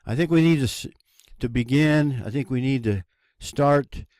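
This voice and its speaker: A man from the United States, hosting his own channel in a solo monologue, talking slowly and deliberately with a preachy emphasis and halting restarts. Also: medium pitch, a little mellow, no echo, clean studio sound. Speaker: channel host. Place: cold home running on a generator.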